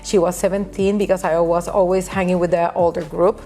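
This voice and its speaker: Puerto Rican woman, halting and laughing. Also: fairly low pitch, level tone, warm tone, faint music behind. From Puerto Rico. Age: middle-aged. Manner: halting, frequent restarts, laughs often. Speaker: guest, nail educator. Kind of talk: casual interview chat.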